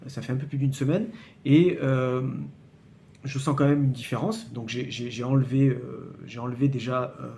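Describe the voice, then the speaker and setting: A man in his late forties speaks casually to his viewers, pausing and repeating himself as he searches for words. He often checks in with a quick "d'accord?" and turns emphatic when he warns them to be careful. His voice sits at an ordinary middle pitch over a faint hum of background noise. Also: steady tone, creaky, warm tone. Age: 40s. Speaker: fitness channel host. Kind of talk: casual explanatory vlog to camera.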